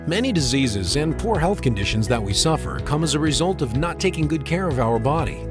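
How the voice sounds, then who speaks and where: A man reading a persuasive scripted commercial voice-over for a doctor's office. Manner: persuasive, lively pitch. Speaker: commercial announcer. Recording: voice-over with clear music bed.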